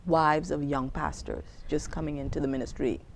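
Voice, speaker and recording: A woman hosting an interview, attentive and quick to laugh, with many short affirmations. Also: low-pitched. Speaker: interviewer, host. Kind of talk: conversational interview. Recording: faint background noise.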